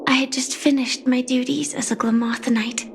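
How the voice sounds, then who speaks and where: A woman playing a gentle young female knight in a video game, grieving yet composed, with a soft, measured, wistful delivery. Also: high voice, steady tone, vocal fry. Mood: solemn, composed. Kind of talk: video game story acting.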